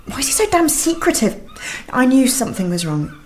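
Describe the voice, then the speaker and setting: An actress playing an anxious, suspicious young wife in a radio drama, fretful and questioning. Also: female voice, high-pitched, big pitch swings, fairly quick, a little hiss or hum.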